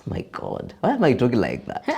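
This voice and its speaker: A young Kenyan man with a deep voice, a broadcaster and voiceover artist chatting as a podcast guest, laughing easily and mixing in Swahili. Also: vocal fry, a bit bright, slightly rough. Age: young adult. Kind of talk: casual interview chat.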